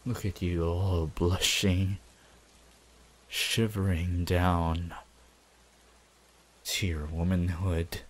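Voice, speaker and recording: Young villain, man acting, low register, unhurried and menacing, possessive, grandiose, ASMR roleplay monologue. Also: flat delivery, full character voice. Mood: possessive, hypnotic. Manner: slow, deliberate, gloating, with dramatic pauses. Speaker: voice actor.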